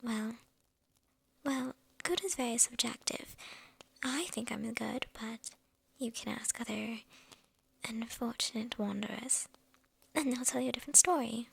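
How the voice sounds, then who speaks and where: A woman acts out a possessive witch in an intimate ASMR roleplay. Her voice is a breathy, fairly high whisper with a strong creak in it. She speaks coyly to the listener, amused and slyly menacing. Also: exaggerated voice, low-quality recording. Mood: sly, lonely. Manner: unhurried, coy, amused, addressing the listener intimately.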